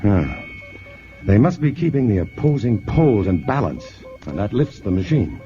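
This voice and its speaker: An actor playing an earnest, thoughtful astrophysicist in a 1950s radio drama, with a deliberate, scholarly manner. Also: male voice, on the low side, sing-song, mellow. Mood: serious, analytical. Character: earnest, thoughtful male astrophysicist, urgent under attack. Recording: muffled old radio broadcast, music underneath.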